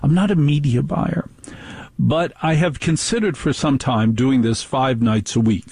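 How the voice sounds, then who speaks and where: A man's voice: medium pitch, a little slow, creaky, a little mellow, breathy edge, no echo. A man hosting a radio talk show, ranting in a rambling monologue and mocking others in put-on voices.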